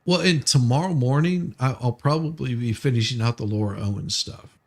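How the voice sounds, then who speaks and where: A man's voice: medium pitch, fairly quick, vocal fry. A man streaming casual, jokey chat in a rambling way, often repeating his words as he goes. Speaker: live streamer.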